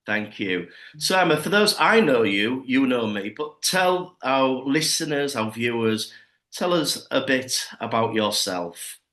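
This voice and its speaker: A man's voice: mid-range voice, expressive, a little slow, bright, forceful tone. A man who is a church minister, hosting a conversational interview in a friendly manner. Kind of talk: hosted interview. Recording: echoey room.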